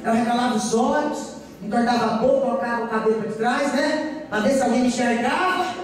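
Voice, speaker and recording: High-voiced Brazilian woman preaching in echoing hall. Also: a little fast. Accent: Brazilian, from Goiás. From Goiás, Brazil. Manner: emphatic, repetitive oratory with rhetorical questions to the congregation. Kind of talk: sermon with personal testimony. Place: church during a live service. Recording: echoey church hall, distant mic, faint noise.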